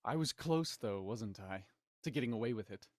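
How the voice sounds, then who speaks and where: An actor playing a smug, menacing villain in an audio drama, mocking, theatrical and condescending in manner. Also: male voice, on the low side, lively intonation, normal speed, no room sound, clean studio sound, natural voice. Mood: cold, resigned. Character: smug, menacing male villain, cold culprit and puppet-master writer.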